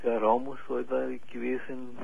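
A man in his forties from Chile, calling in to a radio show and speaking haltingly with many pauses and fillers. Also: medium pitch, flat delivery, creaky, narrow, tinny audio, a little hiss or hum. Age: 40s. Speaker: caller. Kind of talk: call-in radio conversation.

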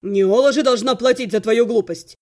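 A woman's voice: a little high, normal speed, mellow, close to an everyday voice. A woman voicing a stern male necromancer in an audiobook, icy and clipped with held-back anger. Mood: sharp anger. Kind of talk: audiobook character acting.

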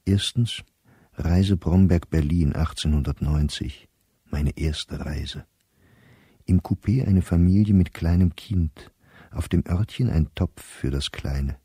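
Ein Schauspieler als verfolgter Gelehrter mittleren Alters, der in einem Hörspiel nachdenklich und knapp aus seinem Tagebuch spricht. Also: male voice, sits low, slow pace, dark tone, breathy edge, dry recording, clean studio sound, exaggerated voice. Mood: confiding, rueful. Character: persecuted middle-aged scholar reading his own diary. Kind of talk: radio play diary monologue.

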